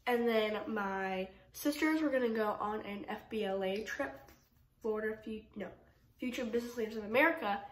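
A woman's voice: medium pitch, echoey room, poor audio. A teenage girl vlogging casually to her phone at home, rambling with lots of fillers while she has a head cold. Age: teens. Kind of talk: casual vlog chat to camera. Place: bedroom at home, indoors. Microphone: phone camera at arm's length.

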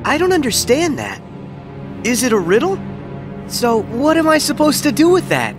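A man's voice: very high-pitched, huge pitch swings, normal speed, full character voice. A man playing a plucky, earnest teenage boy hero in a video game. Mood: puzzled. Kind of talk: scripted video game acting. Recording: clear music behind.

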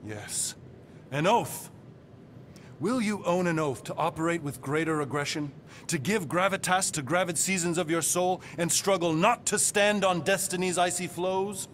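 Brooding cosmic warrior, haughty archaic diction, theatrical game voice, fairly high register, faint noise behind. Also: male voice, normal speed, fairly bright tone. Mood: grave, solemn. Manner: ornate, alliterative, archaic speech. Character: proud, brooding golden cosmic warrior man. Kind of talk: theatrical video game acting.

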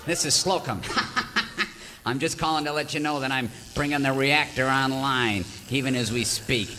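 A man's voice: mid-range voice, measured pace, bright, forceful tone, slight background noise. A man playing a hammy, boastful, dim cowboy villain who cackles and gloats in an old-time radio parody. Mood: gloating. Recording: echoey stage hall, music underneath.

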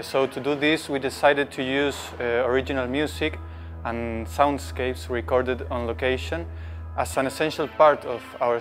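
A man talks in a medium-pitched voice over faint music. He explains things to camera on a lapel mic, interview style. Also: fairly bright tone. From Chile. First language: Spanish. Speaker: sound engineer and podcast creator.

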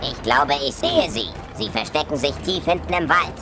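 Robotic, dim-witted battle droid. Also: male voice, a little high, lively intonation, normal speed. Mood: alert, eager. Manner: naive, clipped military phrases. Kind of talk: audio drama acting. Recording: loud music behind.

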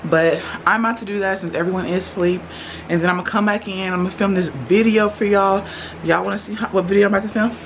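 A woman's voice: medium pitch, steady tone, quick pace, a little hiss or hum, low-quality recording. A young woman with a Texan accent, chatting casually to her viewers while filming herself in her home kitchen. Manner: chatty, direct, addresses viewers as y'all. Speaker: vlogger. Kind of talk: casual vlog chat. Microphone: handheld camera at arm's length.

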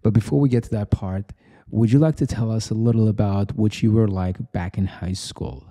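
A young man hosting an interview in a small podcast studio, enthusiastic and encouraging toward his guest, on a close boom mic. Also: fairly even intonation, normal speed, warm tone. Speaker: podcast host.

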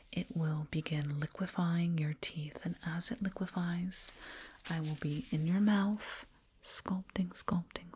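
Woman doing an alien dentist asmr, super breathy lowish whisper, soothing but a bit condescending, right up on the mic. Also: a little mellow, full character voice. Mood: pleased, soothing. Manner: slow, soothing, murmured repetitions. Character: condescending, soothing alien dentist, fussing over a little human. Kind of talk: ASMR roleplay. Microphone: close binaural microphone.

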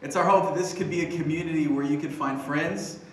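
A man's voice: a little high, fairly even intonation, a little fast, distant mic. A young man speaking as a youth pastor on a church stage into a handheld mic, upbeat and chatty, with many fillers and restarts. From Philippines. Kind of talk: sermon. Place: church stage with band gear. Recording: echoey room, voice from afar.

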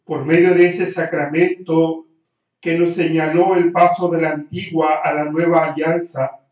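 Solemn priest in an echoey church. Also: male voice, fairly high pitch, little pitch movement. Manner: solemn, measured liturgical recitation. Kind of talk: celebrating mass. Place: small church chapel, indoors, at the altar. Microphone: far camera. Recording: very echoey church, distant camera.

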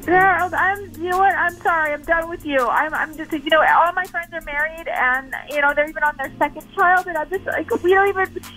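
A woman's voice: very high voice, fairly even intonation, brisk, sharp tone, faint music behind. A young woman talking on a phone call to a radio show, emphatic and protesting, repeating her words. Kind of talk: conversational phone talk.

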